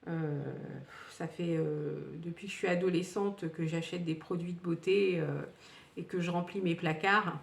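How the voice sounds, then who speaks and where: A woman's voice: lowish voice, steady tone, measured pace, vocal fry. A woman in her fifties reviewing used-up beauty products in a casual, rambling way, with many fillers and restarts. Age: 50s. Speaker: beauty vlogger. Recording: echoey room, distant from the mic.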